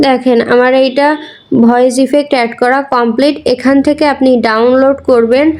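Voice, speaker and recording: A woman's voice: high voice, steady tone, a little fast, darkish tone. A woman giving a casual, instructional how-to voice-over, mixing in English words. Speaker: tutorial creator.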